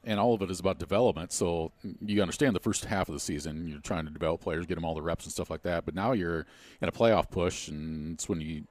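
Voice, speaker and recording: A man's voice: deep voice, fast, darkish tone, dry recording. A man giving conversational analysis as the color commentator on a baseball broadcast.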